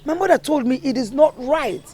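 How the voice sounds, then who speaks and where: Mum giving a lecture, voice goes up and down a lot. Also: female voice, high-pitched, lively intonation, brisk, warm tone, no room sound. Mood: lecturing. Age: middle-aged. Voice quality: earnest, lecturing tone. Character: worried mother scolding her daughter.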